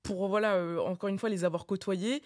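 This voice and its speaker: A woman's voice: medium pitch, steady tone, a little fast, a little mellow. A woman in her twenties in a casual debate, explaining her view as a panel guest in a conversational, hedged way. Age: 20s.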